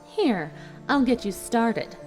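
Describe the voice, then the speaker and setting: A woman voicing a cruel, smirking female unicorn villain in a storybook reading, mocking and deliberate. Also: on the high side, animated, darkish tone, faint music behind, pitched above the performer's own voice. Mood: smug, taunting.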